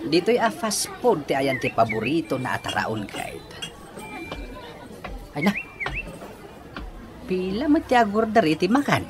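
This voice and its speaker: Casting: vain elderly husband, radio comedy, slow delivery with wide swings, music underneath. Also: male voice, sits high, sing-song, a crowd in the background. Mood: smug, teasing. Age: older. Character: boastful old pensioner, doting husband of a young wife. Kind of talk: broadcast comedy radio drama acting.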